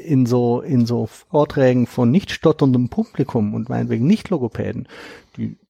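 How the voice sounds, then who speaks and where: A man being interviewed about his book, talking in a relaxed, hesitant way with repeated words and fillers. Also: medium pitch, moderate pace, creaky, breathy edge, dry recording, lo-fi sound. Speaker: guest, author of a book on stuttering. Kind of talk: conversational interview.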